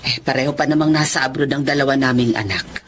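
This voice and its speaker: A woman's voice: a bass voice, fast talker. A Filipino woman acting as an anxious wife, mother and employer in a radio drama. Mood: worried. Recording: noisy drama audio.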